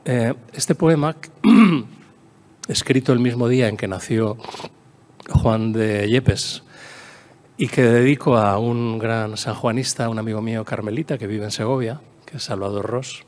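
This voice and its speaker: A man with a Castilian Spanish accent and a medium-pitched voice speaks as a conference lecturer on literature. He wanders into storytelling asides, repeats phrases for emphasis and often checks in with short tag questions. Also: measured pace, darkish tone. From La Mancha, Spain.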